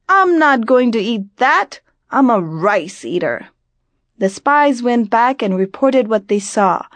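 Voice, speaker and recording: Slow, higher-pitched American woman telling stories. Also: no echo. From grew up in Virginia, United States. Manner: deliberate, dramatic, with repeated phrases drawn out. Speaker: storyteller. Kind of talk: expressive oral storytelling of a family folk tale.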